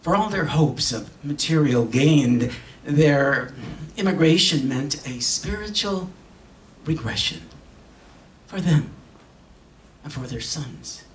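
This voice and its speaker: An older man performing a dramatic reading on stage in a solemn, theatrical manner with long pauses. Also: a little high, animated. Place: stage in an auditorium. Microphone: stand microphone heard from a distant camera. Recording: echoey hall, distant camera, faint noise.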